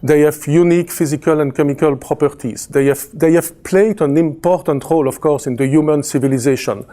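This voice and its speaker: A man's voice: a little high, steady tone, a little fast, dark tone. A man in his fifties with a Belgian French accent, a chemistry professor giving a conference presentation, hesitant with many fillers and restarts and a dry sense of humor. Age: 50s.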